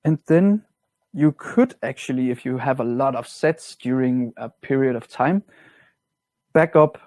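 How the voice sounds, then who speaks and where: Man with a Danish accent, mellow and dry. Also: mid-range voice, no echo. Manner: relaxed, plain-spoken, slightly non-native grammar. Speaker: product trainer. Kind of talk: webinar software walkthrough.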